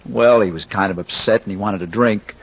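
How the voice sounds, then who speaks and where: Earnest young suitor. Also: male voice, on the low side, fairly quick, slightly rough. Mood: uneasy, matter-of-fact. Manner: urgent, colloquial, earnest. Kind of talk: 1940s radio acting. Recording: muffled old radio recording with faint noise.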